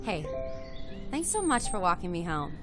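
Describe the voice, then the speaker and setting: A woman's voice: fairly low pitch, sing-song, normal speed. A woman in her twenties acting a friendly, self-effacing small-town young woman in an amateur audio drama. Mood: shy, playful. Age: 20s. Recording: background music under dialogue.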